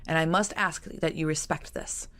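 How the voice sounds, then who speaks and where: Woman reading a grave, proud gentleman. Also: deep voice, steady tone, moderate pace. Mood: stern. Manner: grave, reserved. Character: proud, ashamed gentleman brother.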